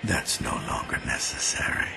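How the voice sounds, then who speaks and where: A man playing a cold, controlled villain in a video game, speaking in measured, formal, menacing phrases. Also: lightly acted, rough recording. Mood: cold menace. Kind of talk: video game cutscene acting. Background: prominent music.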